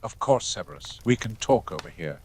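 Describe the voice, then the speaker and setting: A man voicing a calm, gentle old headmaster wizard with a measured manner in a fantasy audio story. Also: on the low side, slight background noise, lightly acted.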